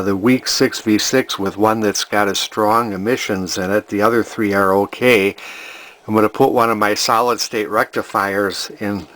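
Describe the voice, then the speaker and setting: A man's voice: on the low side, a bit bright. A man narrating an electronics repair casually, thinking aloud in a hesitant, rambling way. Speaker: vintage electronics repair hobbyist.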